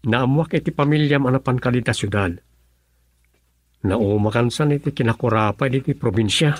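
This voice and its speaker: An actor playing an earnest, lovelorn young provincial farmer in an Ilocano radio drama, with an Ilocano accent. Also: male voice, mid-range voice, moderate pace, no room sound, close to an everyday voice. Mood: wistful.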